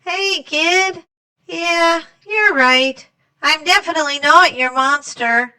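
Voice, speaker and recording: A woman's voice: extremely high pitch, slow pace, sharp tone, distant mic, pitched above the performer's own voice, full character voice, rough recording. A woman voicing an eager, chatty storybook monster with a chummy, insistent way of talking. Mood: eager, clingy.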